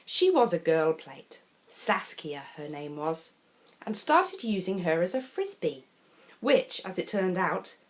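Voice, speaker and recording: Animated woman reading a storybook. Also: on the low side, takes it slowly. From England. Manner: theatrical, chatty asides to the young listener. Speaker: storyteller reading a children's picture book. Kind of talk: expressive children's storybook narration.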